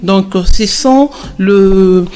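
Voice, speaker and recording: A woman playing a kind mother who gives children a Bible lesson in an animated film, encouraging and explanatory, with some hesitations. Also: medium pitch, drawn-out words.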